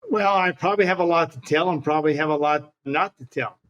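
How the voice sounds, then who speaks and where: A man's voice: on the high side, quick pace, a bit bright, low-quality recording. An older man from Hawaii with an American accent, casually reminiscing as an interview guest. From Hawaii, United States.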